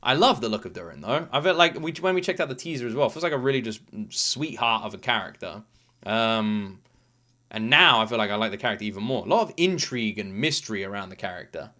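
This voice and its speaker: A man's voice: lowish voice, expressive, fast talker, bright, forceful tone. A man hosting a reaction video, talking casually and emphatically with informal, sweary enthusiasm.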